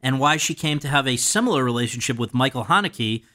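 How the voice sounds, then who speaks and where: A male podcast host with a medium-pitched voice. He speaks in prepared, orderly phrases as he introduces and questions his guest. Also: lively intonation, fast, pushed and bright.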